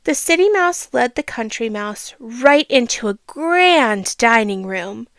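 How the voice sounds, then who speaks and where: A woman reading a children's storybook aloud, expressive and teacherly, with asides and questions for the listener. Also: fairly high pitch, takes it slowly, clean tone, dry recording.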